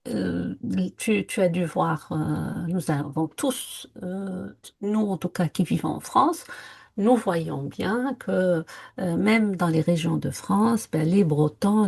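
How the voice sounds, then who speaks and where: Woman, podcast co-host; gravelly, slightly husky, reflective, hesitant delivery. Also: lowish voice, darkish tone. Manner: reflective, earnest, many hesitations. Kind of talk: casual conversational podcast. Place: lived in France.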